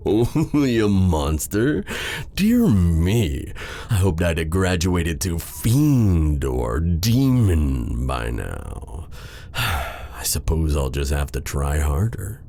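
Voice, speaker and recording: A man playing a suave, menacing dark sorcerer with tender airs in an ASMR fantasy roleplay, speaking in a theatrical, silky, taunting way. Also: deep voice, a little hiss or hum, heavily acted. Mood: wry, mocking.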